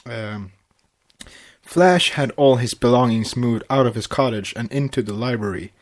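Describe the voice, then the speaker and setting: A man co-hosting a podcast, chatting casually and narrating a story in a halting way with stumbles. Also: medium pitch. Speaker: podcast co-host and fan fiction reader.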